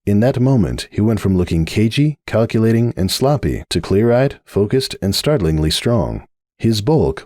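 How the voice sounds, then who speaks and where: A man's voice: on the low side, darkish tone, dry recording, clean studio sound. A man giving a dramatic scripted reading of a story as its narrator.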